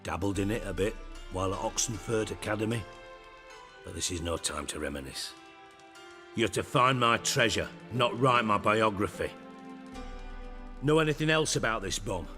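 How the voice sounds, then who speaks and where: A sarcastic spymaster. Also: male voice, lowish voice, lively intonation, normal speed, pauses often, bright, forceful tone, big-room sound, faint music behind. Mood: sardonic. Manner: sarcastic, imperious and crude. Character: sardonic, bossy male spymaster, middle-aged. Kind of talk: video game dialogue.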